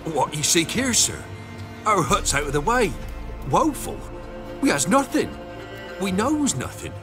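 A man voicing a humble, wary peasant in a video game, with a rural English accent and a halting, deferential manner. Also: high voice, wildly swooping pitch, full character voice. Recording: loud music bed.

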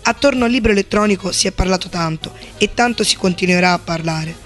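A man reading a scripted news voice-over in a measured, questioning way. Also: sits high, a bit bright, faint music behind. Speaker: reporter narrator.

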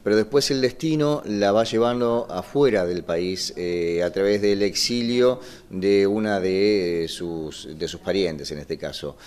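Argentine male writer, 50s, interview talk, low pitch, winding halting sentences. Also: darkish tone, clean tone. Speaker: radio drama scriptwriter. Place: small wood-panelled room indoors. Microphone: microphone just in front.

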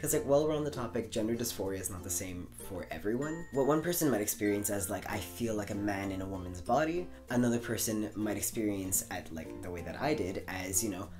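Casting: young woman, extremely deep voice, quick casual anecdotes. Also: animated, music in the background. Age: young adult. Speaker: vlog host. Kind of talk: casual personal chat. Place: small room indoors, on a couch.

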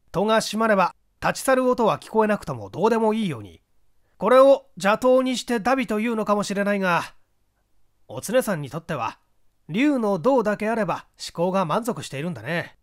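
A man as a composed detective with lively intonation. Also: high-pitched, a little fast. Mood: consoling, explaining. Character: Kudayū, composed, kindly, shrewd amateur detective.

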